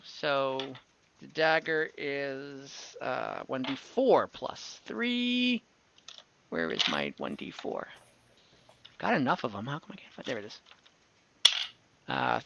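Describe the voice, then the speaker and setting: A game master running an online tabletop fantasy game, thinking aloud while tallying dice and narrating combat. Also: medium pitch. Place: online voice call. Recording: dull lo-fi mic with faint noise and clicks.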